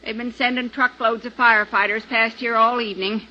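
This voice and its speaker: A woman's voice: fairly high pitch, fairly even intonation, brisk, close to an everyday voice. A woman acting a chatty, nosy older drive-in owner with a folksy American accent in a 1950s radio drama. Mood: gossipy, dramatic. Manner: gossipy, folksy, emphatic. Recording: tinny, faintly noisy old radio recording.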